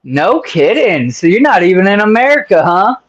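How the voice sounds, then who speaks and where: A man with a high voice hosting a loose, casual interview. He jokes and rambles, with plenty of fillers between questions. His voice sits well above most men's. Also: very animated, moderate pace, a bit bright, dull audio. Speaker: interview host.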